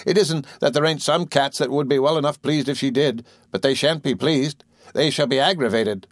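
A man's voice: mid-range voice, moderate pace, dry recording, clean studio sound, pitched above the performer's own voice, close to an everyday voice. A man voicing a blunt, effusive, devoted woman servant in a storybook, with fussing, colloquial exclamations. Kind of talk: audiobook character voice.

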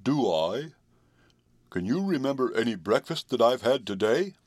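A man's voice: mid-range voice, very animated, moderate pace, a little mellow, dry recording, clean studio sound. A man voicing a weary, scornful old male horse in a storybook reading, grumbling as he talks.

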